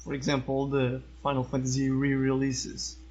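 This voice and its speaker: A man's voice: mid-range voice, monotone, normal speed, darkish tone. A man speaking casually as a guest in a podcast discussion, over dull, lo-fi voice chat audio.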